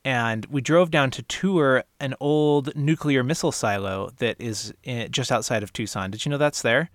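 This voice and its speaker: A man's voice: medium pitch, normal speed, no room sound, studio quality. A man co-hosting a casual podcast, telling stories with eager enthusiasm. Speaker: podcast co-host.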